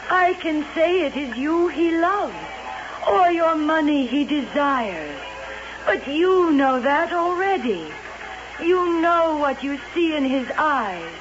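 A woman voicing a mystical female fortune-telling figure who speaks slowly and solemnly in a radio drama. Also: sits high. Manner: slow, soothing, solemn. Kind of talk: 1970s radio drama acting. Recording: dull old radio broadcast with music.

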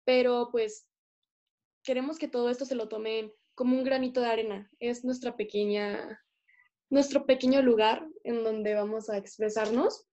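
Young colombian woman chatting on her podcast, kinda high voice, soft mellow tone, lots of fillers. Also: steady tone, moderate pace, muffled recording. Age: young adult. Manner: chatty, earnest, with many fillers. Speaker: podcast co-host. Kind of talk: casual podcast chat. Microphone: remote call recording.

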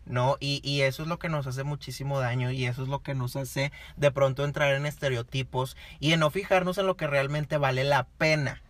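A man's voice: medium pitch, fairly even intonation, a little fast, hardly any pauses, no echo. A man in his early twenties with a Mexican accent, co-hosting a casual, playful chat and repeating phrases for emphasis. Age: early 20s. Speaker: co-host of a conversational show.

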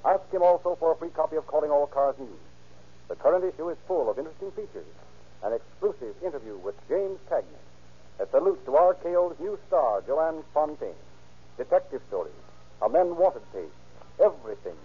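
A man's voice: on the high side, sing-song, fairly quick, husky. An American man narrating and announcing in a formal, declamatory 1930s radio style. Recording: tinny, narrow 1930s radio recording.

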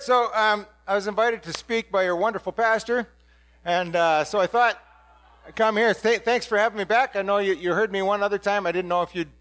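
A blunt, ordinary middle-aged fisherman apostle, played by a man speaks in a rather high voice to a congregation. He rambles and stumbles over restarts in a casual, excitable way. The sound is dry and close. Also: dry recording. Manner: excitable, blurting. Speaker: dramatic first-person preacher. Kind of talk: first-person biblical monologue to a congregation.

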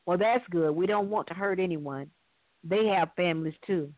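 A story reader voicing a gentle, concerned mother with a questioning manner. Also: mid-range voice, normal speed, no room sound, natural voice. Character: concerned, gentle mother, anxious about harm. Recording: thin, dry phone-line sound.

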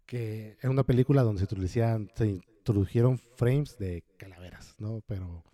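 Dark-voiced man explaining at length. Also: lowish voice, fairly quick, dry recording. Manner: explains at length, relaxed with filler words. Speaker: podcast co-host. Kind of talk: casual podcast chat about horror films.

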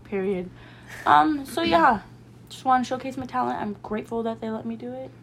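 A woman's voice: a little high, a little fast, a little hiss or hum. A young woman guest, an aspiring pop singer, speaking in playful, sassy one-liners. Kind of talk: casual chat.

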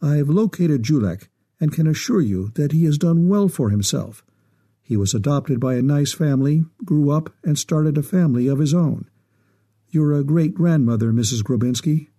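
A man voicing an older male official who speaks evenly and formally, holding back quiet sorrow. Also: on the high side. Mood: reassuring. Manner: even, measured, formal.

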